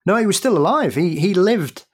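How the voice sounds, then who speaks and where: A man's voice: high voice, animated, moderate pace, darkish tone, slightly rough. A man, a podcast guest who laughs often and recounts historical stories in detail. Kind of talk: casual podcast conversation.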